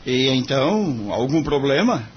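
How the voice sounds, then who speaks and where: Courteous young male Brazilian lawyer played by an actor for radio drama, mid-pitched, lively inflection, muffled recording. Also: a little slow. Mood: formal, probing. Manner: formal, polite, earnest. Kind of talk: audio drama acting. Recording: muffled lo-fi audio, background noise.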